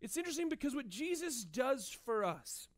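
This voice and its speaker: A man in his thirties, a pastor, giving a conversational, animated sermon to his church, tossing in quick "right?" asides. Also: very high-pitched. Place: church stage with band gear.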